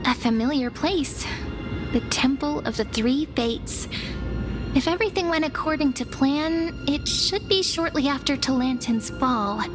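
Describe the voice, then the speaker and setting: High-voiced, unhurried storyteller companion. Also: female voice, music in the background. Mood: calm, purposeful. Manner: measured, theatrical. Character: storyteller companion, young female, teasing, knowing, gentle guide. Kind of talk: scripted storytelling, game voice acting.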